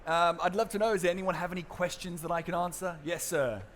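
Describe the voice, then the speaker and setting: A man's voice: high-pitched, steady tone, brisk, a bit bright, a little hiss or hum. A South African man giving a conference seminar talk on a main stage, casual and chatty, with fillers and restarts. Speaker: presenter.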